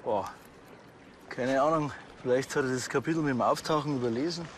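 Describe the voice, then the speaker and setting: A man's voice: medium pitch, fairly quick, slight background noise, lightly acted. An actor playing a laconic, sardonic village policeman with a Bavarian accent in a TV comedy. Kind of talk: screen acting in a police comedy series.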